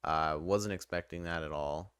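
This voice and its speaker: A man's voice: low-pitched, fairly even intonation, moderate pace, a bit bright, smooth, dry recording, studio quality. A man giving a casual, unscripted video game review in a conversational, hesitant way, weighing his opinions as he goes.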